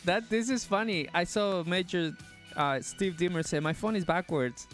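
A man's voice: high voice, normal speed, a bit bright, soft background music. A man from Chile co-hosting a live show with casual, playful, teasing banter. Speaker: co-host of a live-streamed show.

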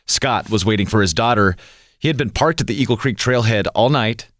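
A man's voice: lowish voice, fairly quick, fairly bright tone, dry recording. American male news anchor, dry studio sound. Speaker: radio news anchor and reporter. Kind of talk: scripted news narration.

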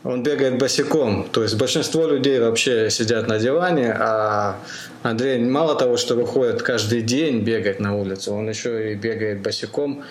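A man's voice: medium pitch, normal speed. Man interviewing casually in an echoey room. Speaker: podcast host, interviewer. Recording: roomy echo, faint background noise.

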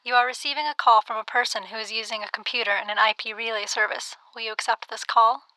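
A woman's voice: fairly high pitch, steady tone, quick pace, bright, forceful tone, poor audio. A woman playing a long-suffering phone relay operator, formally reciting messages in an audio drama. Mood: formal, procedural.

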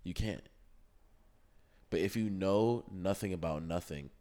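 A man's voice: low-pitched, a little slow, dark tone. A young man co-hosting a casual chat, rambling and swearing with lots of fillers and restarts. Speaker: podcast co-host.

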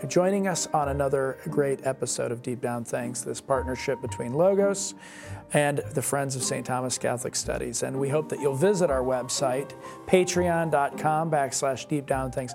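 Guy hosting a podcast, medium pitch, chatty interview style, some music under him. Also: moderate pace, gravelly. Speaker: podcast host.

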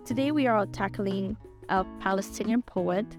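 A woman's voice: medium pitch, normal speed, music in the background. A young woman with a French accent hosting a podcast, talking in a hesitant way with many fillers and restarts. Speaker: podcast host. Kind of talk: casual podcast chat.

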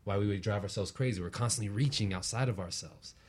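Low husky man explaining earnestly. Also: quick pace, darkish tone. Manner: earnest, explanatory, often tags phrases with "right?". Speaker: guest, relationship practitioner and teacher. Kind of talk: conversational spiritual explanation.

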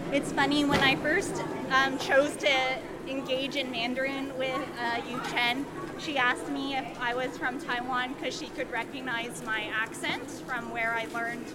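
High-voiced woman guiding a food tour. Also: little pitch movement, unhurried, hardly any pauses, a loud crowd. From Canada. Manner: chatty, explanatory, with fillers. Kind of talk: casual guided tour talk. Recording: loud street noise behind.

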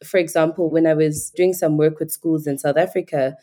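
A woman's voice: low voice, fairly even intonation, fairly quick, mellow. A woman from Nigeria, a podcast guest, talking in a thoughtful, articulate and earnest way. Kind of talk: reflective conversational interview.

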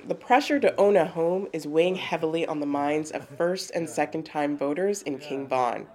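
Woman reporting the news in a low voice. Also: darkish tone. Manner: measured, clear reporting delivery. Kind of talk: scripted news narration.

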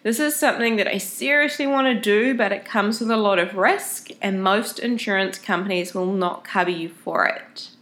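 A woman's voice: mid-range voice, normal speed, hardly any pauses, fairly bright tone. A woman with a head cold and a nasal voice, giving casual, chatty travel advice as a vlogger, explaining things step by step. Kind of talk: casual advice talk to camera.

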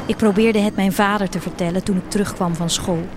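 A woman playing an earnest schoolgirl, proud of her fish farmer father, narrating a radio play in a measured storytelling way. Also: medium pitch, fairly even intonation, noisy background, lightly acted. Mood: earnest, recounting.

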